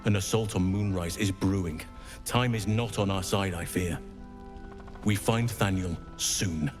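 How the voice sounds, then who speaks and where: A grave fantasy leader in a video game. His fairly deep voice is formal and purposeful with an urgent edge, and music runs underneath. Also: a little slow. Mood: hopeful, grave.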